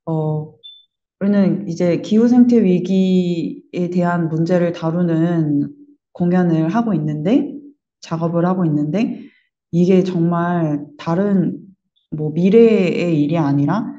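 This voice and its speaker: A woman with a lowish, very mellow voice talks reflectively, as if in an interview. She is thoughtful and often halts mid-phrase to search for a word. The recording is slightly dull and muffled. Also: a little slow. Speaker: member of an art production team.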